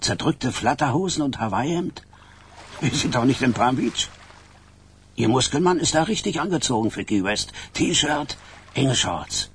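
A man's voice: medium pitch, lively intonation, a little slow, fairly bright tone, slight background noise. An actor playing a flamboyant older gangster in a radio crime drama, mocking and teasing everyone he talks to. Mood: sardonic, teasing. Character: sardonic, dandyish old gangster with lapdog.

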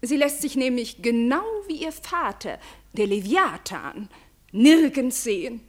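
An actress playing a gossipy, deferential aristocratic lady in a radio play, telling her tales effusively with dramatic, drawn-out emphasis. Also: on the high side, animated. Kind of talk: German radio play acting.

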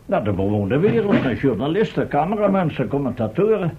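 Casting: vain male professor, higher-pitched and very animated, formal, pompous, vintage radio drama. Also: a little fast. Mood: self-important. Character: vain, fussy male professor, time-travelling scientist hungry for fame. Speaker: radio play actor. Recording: muffled old radio recording, faint hiss.

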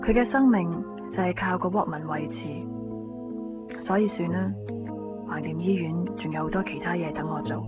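An actress playing a weary, matter-of-fact hospital nurse in a Hong Kong Cantonese radio drama, with a resigned, dry delivery. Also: female voice, fairly low pitch, moderate pace, close to an everyday voice. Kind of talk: 1990s radio drama acting and narration. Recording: dull lo-fi radio recording, music behind.

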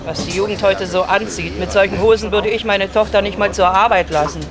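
An actress playing a prim, indignant married passenger in a radio play, scolding and disapproving. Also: female voice, medium pitch, fairly even intonation, fairly quick, a crowd in the background, natural voice. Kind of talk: 1970s German radio play acting. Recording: loud vehicle noise behind the voice. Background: engine and street noise of public transport.

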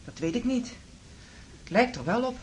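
A woman's voice: on the high side, very animated, normal speed, poor audio. An actress playing a plain-spoken, matter-of-fact landlady in a Dutch radio play. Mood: firm, puzzled.

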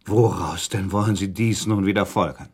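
An actor playing a proud prince under accusation in a radio play, speaking formally and deliberately with cool irony. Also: male voice, low voice, husky. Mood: sceptical, challenging. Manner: formal, deliberate, sardonic, questioning. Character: proud male prince accused of murder, guarded, coolly ironic. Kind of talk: German radio play acting, period dialogue.

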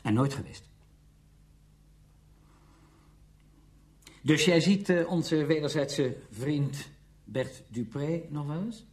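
An actor playing a defensive, self-excusing bachelor in a radio drama, talking in a hesitant but emphatic conversational way. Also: male voice, fairly high pitch, unhurried, darkish tone, natural voice. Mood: casual, musing.

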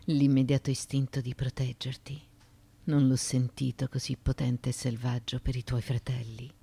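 A woman's voice: rumbling low, level tone, moderate pace, vocal fry, warm tone, slightly rough, full character voice. An actress playing an ancient Greek mother haunted by her childhood, in a theatrical radio monologue of broken musing. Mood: haunted, reminiscing.

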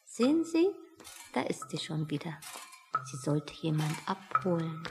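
A woman's voice: deep voice, big pitch swings, measured pace, loud music behind the voice, heavily acted. A woman acting a questioning, wry character in a radio play, with a probing, dry way of talking. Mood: wry, doubtful. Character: questioning, wry woman waiting outside a meeting.

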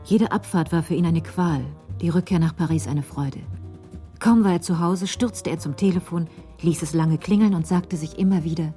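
A woman's voice: on the low side, moderate pace, warm tone, soft background music. A woman reading scripted narration as the storyteller of a German radio crime drama.

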